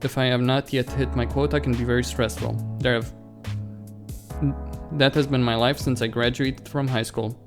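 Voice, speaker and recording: A man's voice: medium pitch, flat delivery, normal speed. A man hosting a let's play, reading visual novel dialogue aloud and adding casual commentary, stumbling and correcting himself as he goes. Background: game music.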